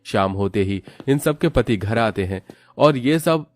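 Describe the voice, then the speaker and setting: A man's voice: fairly low pitch, lively intonation, measured pace, faint music behind. A man narrating a scripted cartoon moral tale as its storyteller.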